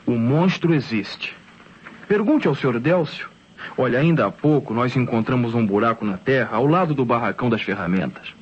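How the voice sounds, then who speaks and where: A man plays an insistent witness in an old Brazilian radio mystery. His mid-pitched delivery is dramatic. The recording is dull with faint noise. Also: animated, moderate pace, lightly acted. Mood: insistent, ominous. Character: insistent man warning an inspector of a monster. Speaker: radio actor.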